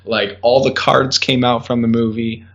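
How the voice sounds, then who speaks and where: A man's voice: medium pitch, little pitch movement, moderate pace, a bit bright. A man casually recounting memories as a guest, chatty and peppering his talk with "like". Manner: chatty, uses "like" often. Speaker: podcast guest, groom recalling his wedding. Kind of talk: casual conversational recollection. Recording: dull lo-fi voice recording.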